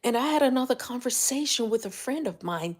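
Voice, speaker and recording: A woman's voice: brisk, husky. An older woman with a Jamaican accent giving motivational talk on a livestream, telling stories animatedly and dropping to whispers. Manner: animated storytelling, rises high when excited, drops to whispers. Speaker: life coach and livestream host.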